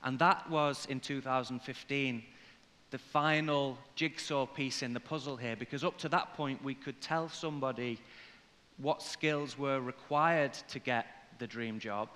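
A man in his forties from Liverpool with an English accent, giving a conference presentation in measured phrases. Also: medium pitch, steady tone, sharp tone. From Liverpool, England. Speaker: corporate conference speaker. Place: large indoor conference hall, on stage. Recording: reverberant hall sound from stage.

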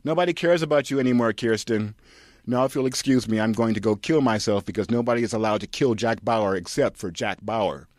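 A man's voice: fairly low pitch, brisk, no room sound, studio quality, lightly acted. A man voicing a fed-up tough-guy husband who talks bluntly and sarcastically in a comic script reading. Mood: dismissive.

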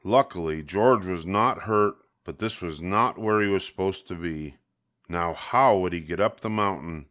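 A man's voice: sits low, measured pace, fairly bright tone. An older man reading a children's picture book aloud, expressively, leaning on key words. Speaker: storybook reader.

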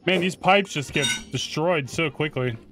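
A man's voice: fairly high pitch, normal speed, a bit bright, faint music behind. A man giving casual, informal video game commentary with slang and mild swearing. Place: close mic indoors, game music behind.

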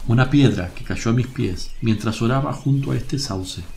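A man acting a serene, affectionate master teacher in a religious radio drama, gentle, solemn and reassuring. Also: mid-range voice, moderate pace, gravelly, close to an everyday voice. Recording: noisy, birdsong ambience behind.

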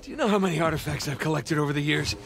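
A man's voice: a little high, very fast, vocal fry. An American man in middle age playing a flippant, wisecracking treasure hunter in a video game cutscene. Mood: strained banter. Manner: sarcastic, flippant. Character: wisecracking adventurous male treasure hunter, cocky under pressure. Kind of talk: video game cinematic acting. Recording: loud music under the voice.

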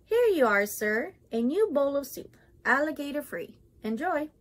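A woman's voice: high-pitched, sing-song, a little slow, voice pushed up. A woman voicing a fussy, proud male waiter in a storybook, speaking formally and eager to placate. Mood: obliging.

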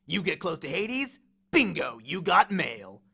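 A man performs a swaggering god of war for an audiobook, a menacing biker bully. He is cocky and slangy, taunting in a bright, forceful voice with plenty of gravel and big swings of pitch. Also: big pitch swings, unhurried, full character voice. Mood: smug. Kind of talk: audiobook character voice.

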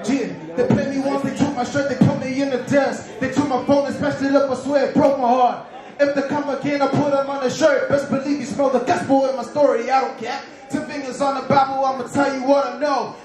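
High-voiced young man rapping fast. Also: little pitch movement. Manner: rapid, rhythmic, half-sung delivery. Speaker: rapper performing. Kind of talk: rap verses on stage. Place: large indoor store event with a crowd. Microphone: handheld mic. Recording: booming echo with loud music.

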